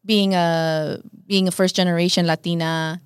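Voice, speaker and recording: A woman's voice: lowish voice, level tone, normal speed, smooth, no echo, studio quality. A middle-aged Mexican-American woman, Spanish her first language, talking earnestly in a casual podcast conversation, often repeating phrases. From United States. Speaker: podcast guest, radio host.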